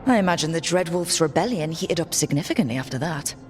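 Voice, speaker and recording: A woman playing a wry, quick-witted investigator companion, conversational, in fantasy game dialogue. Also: low voice, expressive. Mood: wry, reasoning.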